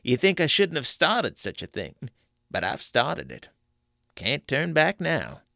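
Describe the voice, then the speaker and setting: A sly, scheming backwoods conjurer , voiced by a man, with a coaxing rustic drawl. The voice rides higher than a plain reading and pushes louder. It sits dry and close to the mic. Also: sing-song, a little slow, vocal fry, dry recording, full character voice. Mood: wheedling. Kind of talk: audiobook character voice.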